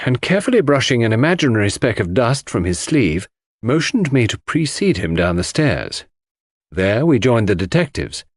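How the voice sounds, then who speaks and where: A man's voice: fairly low pitch, no echo, clean studio sound. A man reading scripted first-person mystery narration for an audiobook.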